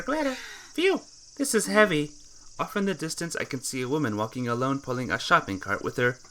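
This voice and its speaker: Bright-voiced, expressive game playthrough reader. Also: mid-range voice, slight background noise. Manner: stumbles over words and corrects himself or herself. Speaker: gamer reading a visual novel aloud. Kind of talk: game playthrough narration, reading aloud with character voices.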